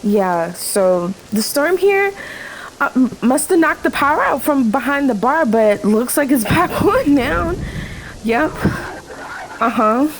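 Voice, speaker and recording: Actress as sardonic female waitress, harried, higher-pitched, slow delivery, radio drama, noisy rainy backdrop. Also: big pitch swings, exaggerated voice. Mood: nervously placating. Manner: curt and sardonic, flustered and placating with the boss. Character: harried, sarcastic waitress working a night shift alone. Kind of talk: radio play acting.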